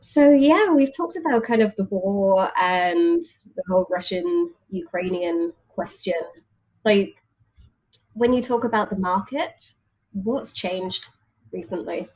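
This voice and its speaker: A woman's voice: mid-range voice, lively intonation, slow, darkish tone, slightly rough, low-quality recording. A woman chatting casually as an interviewer, asking questions and responding to her guest.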